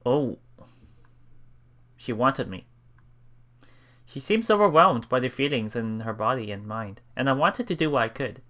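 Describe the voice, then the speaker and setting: Bright-voiced man with animated, swooping intonation. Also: medium pitch, unhurried, pauses often. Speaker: let's play host. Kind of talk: reading visual novel text aloud with casual commentary. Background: game music.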